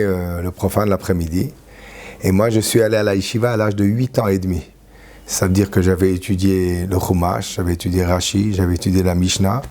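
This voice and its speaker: A man in his sixties from France, a rabbi recalling his life in an interview, halting often with fillers and restarts. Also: deep voice, warm tone. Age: 60s. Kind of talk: reminiscing interview.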